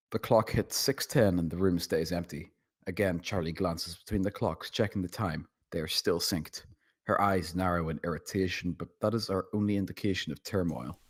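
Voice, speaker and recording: Really deep raspy guy narrating a screenplay at a table read. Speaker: stage directions reader.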